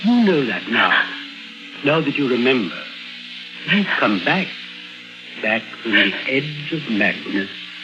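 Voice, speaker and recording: An actor playing a calm, reassuring male psychologist in a 1950s radio drama, with a measured, soothing manner. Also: medium pitch. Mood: reassuring, grave. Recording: dull vintage radio recording with music.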